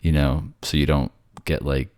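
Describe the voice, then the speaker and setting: A man's voice: extremely low pitch, level tone, normal speed, a little mellow, no echo, studio quality. A man playing a bored, sardonic ghost who haunts a library, snarky and conversational in an ASMR audio roleplay. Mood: defensive, teasing. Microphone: close, dry microphone.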